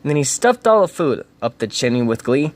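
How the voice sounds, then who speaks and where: Man, dramatic storybook reader, rhythmic rhyming delivery, mid-pitched voice. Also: big pitch swings, a little fast.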